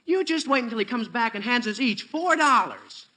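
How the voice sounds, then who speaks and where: A man's voice: very high-pitched, expressive, normal speed, music in the background. An actor playing an earnest, cocksure American teenage boy with a youthful, cracking voice in a 1940s radio sitcom. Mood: boastful, insistent.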